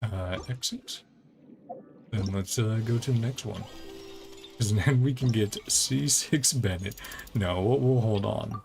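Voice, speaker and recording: A man giving casual, rambling gameplay commentary as a streamer, thinking aloud as he plays, with a creaky voice. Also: fairly low pitch, slow pace. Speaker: video game streamer. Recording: game music behind the voice. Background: video game music.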